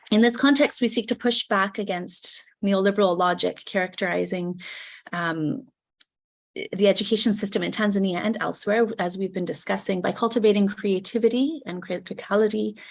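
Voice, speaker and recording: A woman speaking over a video call with prepared academic remarks. Her delivery is formal and deliberate, each phrase carefully worded, at a mid pitch. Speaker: educator presenting a chapter.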